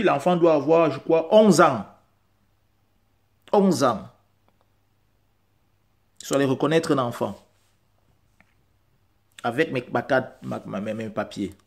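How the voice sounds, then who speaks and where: A man's voice: medium pitch, vocal fry. A man whose first language is not French, talking straight to camera in an insistent, repetitive way and building to emphatic peaks. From Central Africa. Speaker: vlogger.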